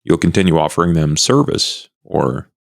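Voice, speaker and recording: A man acts out a shrewd vampire in a tabletop horror game. His voice is low and gravelly, with formal, deliberate, courteous phrasing, recorded dry and close. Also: expressive, dry recording, exaggerated voice. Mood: formal, guarded.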